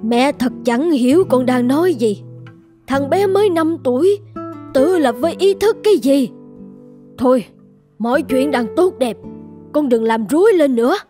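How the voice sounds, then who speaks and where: A woman's voice: sits high, expressive, measured pace, pauses often, mellow, soft background music. A woman playing an indulgent, stubborn old grandmother in a cartoon, doting and dismissive of advice. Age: older. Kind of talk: scripted cartoon dialogue.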